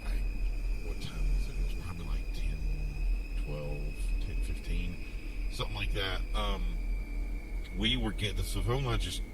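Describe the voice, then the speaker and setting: Low-voiced, rambling radio host played by a man, heavy noise. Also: fairly even intonation. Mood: uneasy, recollecting. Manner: halting, rambling, trailing off. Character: uneasy, rambling male radio host during an emergency. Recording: heavy background noise over the voice.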